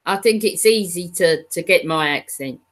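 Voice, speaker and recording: A woman from the East End of London with a strong East End accent, chatting casually and livelily. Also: moderate pace. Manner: lively, mimics others with high voices. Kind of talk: casual chat.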